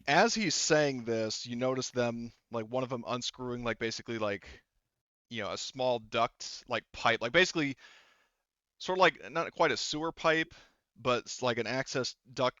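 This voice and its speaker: Bright-voiced, rambling male game master. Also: mid-range voice, clear, resonant tone, no room sound, dull audio. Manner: rambling, halting, self-correcting. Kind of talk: improvised tabletop game narration.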